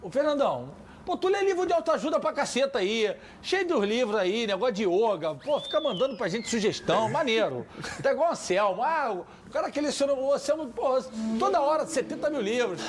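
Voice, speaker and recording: A man's voice: very high-pitched, very animated, a little fast, slight background noise. A man with a Brazilian Portuguese accent hosting a casual football debate, joking and teasing his panel in slang. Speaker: show host.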